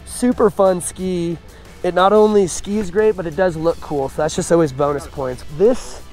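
A man's voice: high voice, steady tone, moderate pace, darkish tone. A man talking informally and conversationally as he gives a casual ski review. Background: music.

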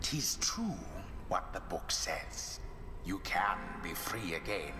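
An actor playing a solemn, haughty mystical narrator in a fantasy game, theatrical and disdainful, with archaic phrasing and reverb. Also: male voice, sits low, big pitch swings, slow pace, full character voice. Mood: solemn, scornful. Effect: long reverb. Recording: echoing reverb over loud game music. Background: game music.

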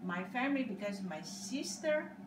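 Older Cantonese-native woman, halting English, recorded from across an echoey dining room with heavy hiss. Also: on the high side, very animated. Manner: slow, halting non-native English with simple grammar. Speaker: interviewee. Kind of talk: casual interview answers. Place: dining room at home, indoors. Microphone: far camera across the room. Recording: echoey room, distant mic, loud background hiss.

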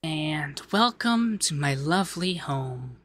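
A man playing a shy, sweet young femboy heir in an ASMR roleplay, hesitant and gently flustered, stammering as he talks. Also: on the high side, expressive, takes it slowly, a little hiss or hum. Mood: excited, welcoming. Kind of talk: ASMR roleplay audio drama.

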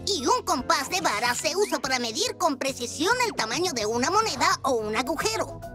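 High-voiced earnest cartoon boy. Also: very animated, fairly quick, music in the background. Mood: explanatory. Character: earnest young fixie boy, unsure student, tiny cartoon creature. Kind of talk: animated cartoon dubbing.

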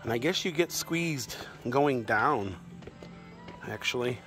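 A man's voice: mid-range voice, lively intonation, takes it slowly, a bit bright, faint music behind. A middle-aged American man giving an easygoing, casual walkthrough narration as a travel vlogger, with short musing asides. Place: outdoors at a mountain garden and in narrow rock crevices. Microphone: phone held at arm's length or in hand.

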